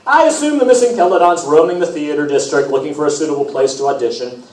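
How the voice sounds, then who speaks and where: A man's voice: a little high, fast, darkish tone, close to an everyday voice. A man reading a storybook and voicing a vain, demanding god of music who talks in slangy, self-important fashion. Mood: breezy, self-important. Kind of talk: storybook character read aloud. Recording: roomy, distant, noisy event recording.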